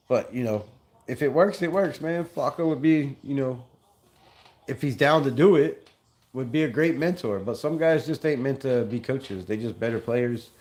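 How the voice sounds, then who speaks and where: A man's voice: medium pitch, darkish tone. A young man from Southern California hosting a casual fan livestream, talking loosely in slang and repeating himself as he reads chat comments. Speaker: fan channel livestream host.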